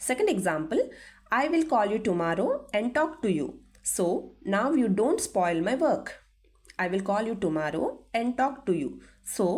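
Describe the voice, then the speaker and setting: A young woman with a Telugu accent teaching English, reading each sentence slowly and deliberately, then explaining it. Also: medium pitch, darkish tone. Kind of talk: language lesson narration.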